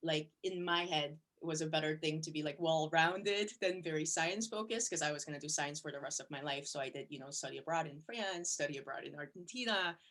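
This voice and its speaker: A woman's voice: low voice, fairly quick, few pauses, fairly bright tone. A young woman from Korea, a surgical resident, chatting casually in an interview and filling her answers with "you know" and "like". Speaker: plastic surgery resident.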